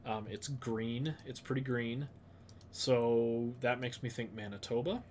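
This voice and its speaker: A man with a stuffed-up nose, thinking aloud slowly with lots of fillers as he streams a geography game. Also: mid-range voice, a little mellow, slight background noise. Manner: slow, musing, thinks aloud with many fillers. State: stuffed up, sniffly. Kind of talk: casual live-stream commentary.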